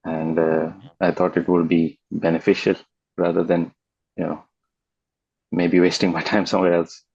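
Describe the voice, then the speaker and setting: An eighteen-year-old man from England, speaking haltingly with many fillers on a group call. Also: deep voice, monotone, gravelly, darkish tone, slightly rough, no echo, lo-fi sound. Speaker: participant.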